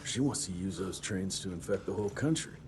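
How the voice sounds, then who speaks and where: A man's voice: low-pitched, lively intonation, brisk, music in the background. An actor playing a wry, sardonic young male monster hunter in a game cutscene. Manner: dry, sardonic. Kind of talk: video game cutscene acting.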